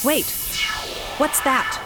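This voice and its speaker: A woman's voice: very slow, over loud music. A woman playing an easily flustered, devoted scientist in an audio storybook drama. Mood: puzzled.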